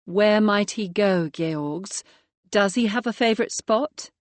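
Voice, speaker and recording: Woman voicing a brisk commanding detective. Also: mid-range voice, dry recording, natural voice. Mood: firm. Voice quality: crisp, authoritative. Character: brisk female police detective, firm and commanding.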